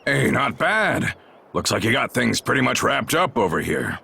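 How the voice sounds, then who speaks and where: An actor playing a brash, blunt Norman warrior chief in a video game, gravelly and husky, with a gruff, folksy, commanding way of talking. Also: mid-range voice, sing-song, moderate pace, sharp tone, exaggerated voice. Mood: blunt, teasing. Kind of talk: video game cutscene acting.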